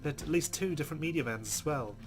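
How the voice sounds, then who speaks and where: A man's voice: fairly high pitch, expressive, quick pace. A man hosting a let's play, reading visual novel narration aloud. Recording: music behind the voice.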